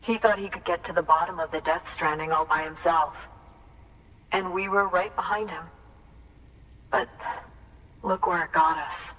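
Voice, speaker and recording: A woman's voice: on the low side, a bit bright, recorded from a distance, a little hiss or hum, close to an everyday voice, low-quality recording. An actress playing an earnest, anxious photographer who carries on her late father's work, in naturalistic video game acting. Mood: earnest, resolute. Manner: earnest, hesitant, heartfelt storytelling.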